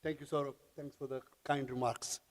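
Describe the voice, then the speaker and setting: A man, giving a conference talk in a halting way with many restarts. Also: medium pitch, little pitch movement, very noisy. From India. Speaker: panel speaker. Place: large conference hall. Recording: long hall reverb.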